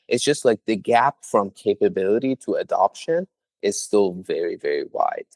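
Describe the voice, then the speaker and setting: A young man with a low voice explains his startup in an earnest, conversational way, often leaning on small fillers. The sound is dry and close, with no room around it. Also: mellow, no room sound. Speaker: startup founder, guest. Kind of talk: conversational interview answers.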